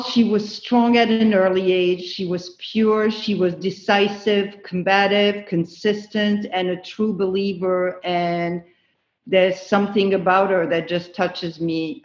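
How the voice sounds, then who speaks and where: A middle-aged woman from France, answering interview questions slowly and earnestly. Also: lowish voice, little pitch movement, reverberant, dull audio. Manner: slow, thoughtful, earnest. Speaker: guest.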